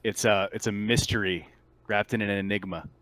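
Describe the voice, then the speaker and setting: A man's voice: on the low side, fairly even intonation, normal speed, fairly bright tone. A man acting as game master, narrating a tabletop roleplaying game and talking with the players.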